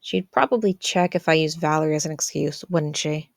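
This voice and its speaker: A woman's voice: deep voice, brisk, vocal fry, dry recording, clean studio sound. A woman playing a sheepish, evasive teenage boy in a story, talking casually with some hesitance and defensiveness. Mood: hesitant.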